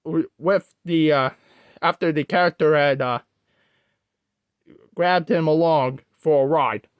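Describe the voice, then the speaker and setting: Storyteller for creepy internet tale: mid pitch, unhurried, halting, self-correcting delivery, dry sound. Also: clear, resonant tone, no room sound, muffled recording. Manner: halting, corrects own wording mid-sentence. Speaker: host, storyteller.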